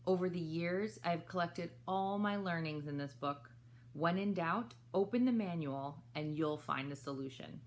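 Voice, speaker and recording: A woman's voice: fairly low pitch, normal speed, slight background noise, lightly acted. A woman voicing a welcoming male colonel in a storybook, a flying instructor who speaks in encouraging, instructive statements.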